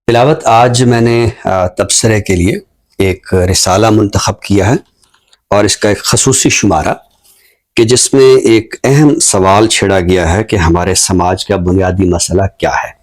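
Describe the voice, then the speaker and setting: A man with a fairly low voice and a Pakistani accent speaks as a literary commentator. His delivery is measured and formal, with thoughtful pauses inside sentences. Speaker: literary talk show guest and book reviewer. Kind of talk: unscripted book commentary and poetry recitation.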